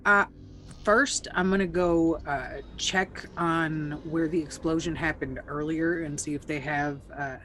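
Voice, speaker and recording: Brisk woman player giving orders in character. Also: deep voice, fairly even intonation, fairly bright tone, faint music behind. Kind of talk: in-character tabletop roleplay.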